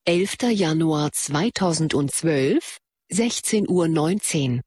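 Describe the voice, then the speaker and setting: A man's voice: fairly high pitch, animated, takes it slowly, a little mellow, breathy edge, no echo, clean studio sound, heavily acted. A man playing an impassive announcer in an audio drama, reading in matter-of-fact, clipped phrases. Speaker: announcer of dates and like counts.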